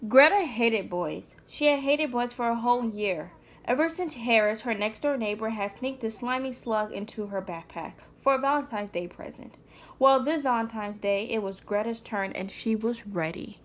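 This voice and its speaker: A woman's voice: a little high, moderate pace, slight background noise. A woman reading a children's picture book aloud in an informal way, with chatty asides to a small child. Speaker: mother reading aloud to a child, vlog host. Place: indoors, sitting on the floor.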